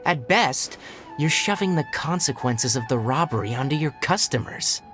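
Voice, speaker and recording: A composed young male forest ranger acted by a man from a video game. He sits at a medium pitch and explains matters bluntly and articulately, like a practical healer. Soft music plays behind him. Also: lively intonation, moderate pace, lightly acted. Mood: puzzled, critical. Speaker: forest watcher. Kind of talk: English game dub dialogue.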